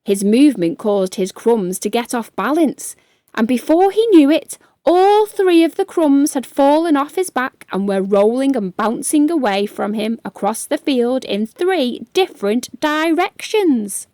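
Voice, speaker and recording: A woman reading a children's bedtime story aloud in a gentle, expressive way. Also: high-pitched, unhurried, no room sound, clean studio sound.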